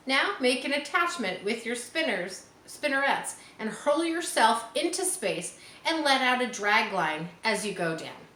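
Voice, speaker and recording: Lady doing wise mother-like spider from a kids book, frank, patient, lively pitch, roomy. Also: measured pace, full character voice. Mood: encouraging. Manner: instructive, kindly matter-of-fact. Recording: roomy echo, faint background noise.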